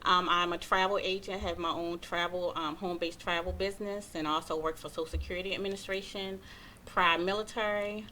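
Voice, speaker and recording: Woman early 40s from baltimore, lowish voice, talking casually on a panel, faint background noise. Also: fairly even intonation, brisk, bright, forceful tone. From Baltimore, United States. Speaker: panel guest. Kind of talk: casual spoken self-introduction and opinion.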